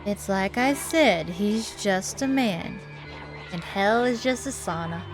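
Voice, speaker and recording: Woman as guarded small-town mentor in a horror game; wry, measured, music beneath. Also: mid-range voice, expressive, rough recording.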